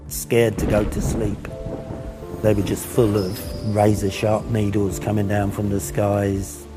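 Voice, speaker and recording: Low, flat-voiced man over music. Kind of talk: interview testimony.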